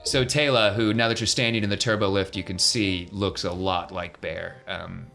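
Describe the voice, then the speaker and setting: Guy with a lowish voice game mastering, describing scenes off the cuff, casual. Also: steady tone, moderate pace, creaky, bright, forceful tone, faint music behind. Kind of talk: improvised tabletop game narration.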